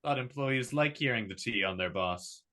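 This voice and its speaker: A man's voice: medium pitch, lively intonation, moderate pace, pushed and bright. A man reading the part of an awkward, privileged young heir in a drama table read, talking in a casual, rambling way.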